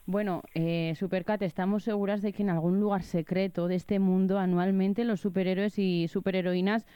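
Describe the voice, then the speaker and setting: A woman's voice: medium pitch, little pitch movement, normal speed, clear, resonant tone, dry recording, dull audio. A woman interviewer asking plain, direct questions.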